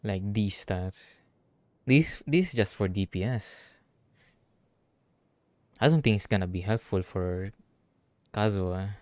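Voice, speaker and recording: A man's voice: lowish voice, slow, pauses often, dry recording. A man from the Philippines with a Filipino accent, thinking aloud in casual livestream game commentary. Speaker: streamer. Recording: game music behind the voice. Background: video game music.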